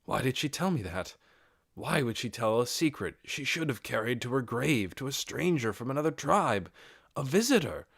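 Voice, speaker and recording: A man reading a fantasy audiobook as narrator, in a measured and expressive dramatic storytelling style. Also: medium pitch, no room sound, studio quality.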